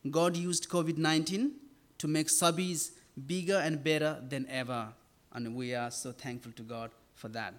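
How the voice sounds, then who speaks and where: A young man from Nepal speaks to a church congregation with a voice set a little high for a man. He is earnest and halting, pausing on fillers and restarting his sentences as he goes. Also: steady tone. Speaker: campus ministry worker. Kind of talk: church talk and sermon.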